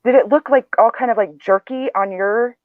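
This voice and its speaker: A man's voice: sits high, normal speed, dry recording. Nasal man, rambling and self-deprecating, tinny phone-like sound, dry. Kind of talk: casual video chat. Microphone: video call.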